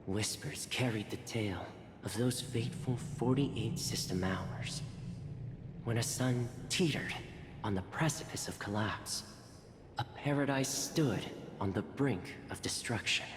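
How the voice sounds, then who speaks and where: A solemn storyteller voiced by a man chronicles a game world in an unhurried, portentous voice. The delivery is grave and theatrical. It rings in a roomy reverb with noise behind it. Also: fairly low pitch, close to an everyday voice. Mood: grave, ominous. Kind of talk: dramatic scripted narration.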